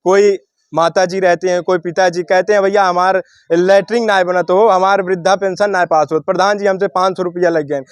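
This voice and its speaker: High-pitched man reporting. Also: smooth, no echo, clean studio sound. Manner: rhetorical, declamatory, addresses viewers as sir. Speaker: local news reporter interviewing. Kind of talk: spoken news commentary and interview.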